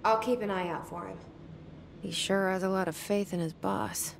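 Woman as a guarded young director, clipped and dry, mid-pitched, noisy echoey space. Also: steady tone, normal speed, vocal fry, lightly acted. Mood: firm. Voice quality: dry, terse; whispered inner thoughts. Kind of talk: video game cutscene.

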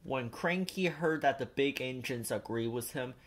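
A man reading a children's picture book aloud as a storyteller, expressive and deliberate. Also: mid-range voice.

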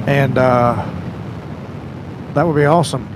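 A man's voice: mid-range voice, steady tone, slow. A man giving an earnest, colloquial, preachy vlog talk about faith as he rides a motorcycle. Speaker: motorcycle vlogger. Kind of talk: casual faith talk while riding. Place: outdoors, riding a motorcycle. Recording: loud engine, wind and road noise.

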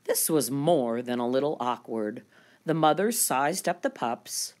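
A woman reading a children's picture book aloud as storyteller, expressive and deliberate, drawing out key words for young listeners. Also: a bass voice.

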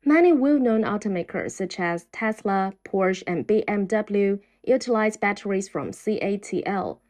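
A woman reads a scripted documentary voice-over at a steady, even pace. Her pitch sits in the middle range, and the delivery stays measured throughout. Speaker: narrator.

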